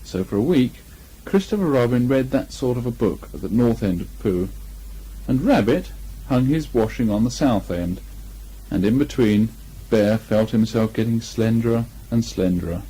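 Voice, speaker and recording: Mellow, husky male storyteller. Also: medium pitch, lively intonation, moderate pace. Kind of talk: expressive children's storybook reading. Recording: muffled amateur 1980 home recording.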